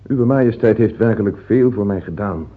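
Casting: cynical court chancellor, low husky voice, coolly deferential, for a lo-fi vintage radio play. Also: male voice. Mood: weary, cynical. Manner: dry, ironic, measured politeness. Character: weary, cynical royal chancellor, coolly deferential to his king. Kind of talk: theatrical radio drama acting. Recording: dull, lo-fi old radio recording.

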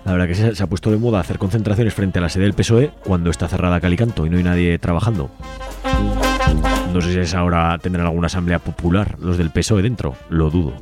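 A man's voice: deep voice, fairly even intonation, quick pace, over loud music. A man from Spain, reading out events as a radio co-host in an informal, wry, casual way.

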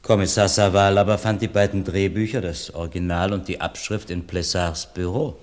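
A man playing an authoritative presiding judge in a radio crime play, formal, measured and commanding. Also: low-pitched, steady tone, lightly acted. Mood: formal, probing.

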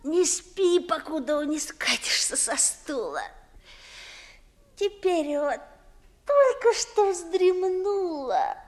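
An actress playing a pert, quick-witted young maidservant in a 1950s Russian verse radio comedy, with theatrical, exclamatory delivery and muttered asides. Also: female voice, extremely high pitch, sing-song, heavily acted. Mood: drowsy.

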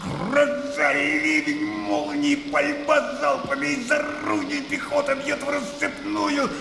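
Blustering old colonel, creaky and high-pitched. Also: male voice, flat delivery, slow, exaggerated voice. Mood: animated, jovial. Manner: blustering, exclamatory storytelling. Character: boisterous old colonel, garrulous war-story raconteur. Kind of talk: radio drama acting. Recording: roomy reverberant sound, audible background noise.

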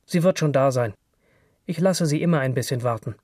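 A cocky young man voiced by a male reader talks in coarse slang with boastful self-assurance. His voice is mid-pitched and recorded dry and close, in the manner of audiobook dialogue. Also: brisk, a little mellow, no echo, natural voice. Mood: self-assured.

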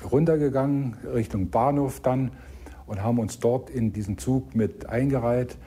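A man's voice: fairly low pitch, mellow, a little hiss or hum. A man recounting a personal memory conversationally, as an eyewitness.

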